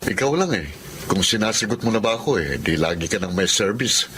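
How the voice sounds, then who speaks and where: An actor playing an arrogant, jealous well-off suitor with a Filipino accent, in a sneering radio drama style. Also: male voice, on the low side, animated, fairly quick, a bit bright, a little hiss or hum. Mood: jealous, condescending. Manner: haughty, sneering.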